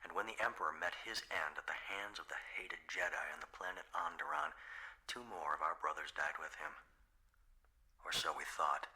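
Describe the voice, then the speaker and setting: An actor playing a proud, vengeful imperial guardsman in a radio drama, with a solemn, measured and formal delivery. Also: extremely low pitch, bright, forceful tone, exaggerated voice, rough recording. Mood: solemn recollection. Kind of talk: scripted radio drama acting and recorded-journal narration. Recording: dramatic music behind the voice.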